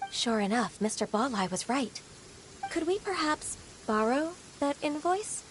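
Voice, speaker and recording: A woman's voice: sits high, lively intonation, unhurried, slight background noise. A woman voicing a polite, formal secretary in a video game. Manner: formal and courteous.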